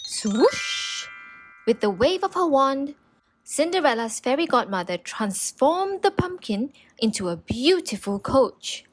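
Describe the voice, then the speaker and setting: A woman narrating a children's storybook in an expressive read-aloud style. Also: sits high, takes it slowly, faint music behind.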